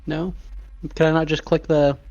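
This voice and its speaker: Higher male voice, dull lo-fi sound. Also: flat delivery, moderate pace, gravelly, darkish tone. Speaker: player in tabletop game. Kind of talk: casual in-character roleplay.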